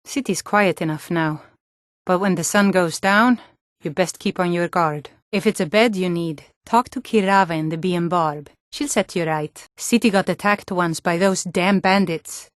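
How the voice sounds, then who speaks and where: An actress playing stern, earnest Nord warrior women and town guards in a fantasy video game, speaking in a firm, measured way. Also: female voice, fairly low pitch, dry recording, studio quality, natural voice. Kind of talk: video game character dialogue.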